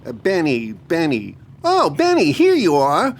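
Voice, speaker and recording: Slow-talking, animated gate guard. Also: female voice, low voice, heavily acted. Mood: flustered, checking. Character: dismissive official gate guard at space center. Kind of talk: 1960s radio comedy acting. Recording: radio comedy recreation, faint noise.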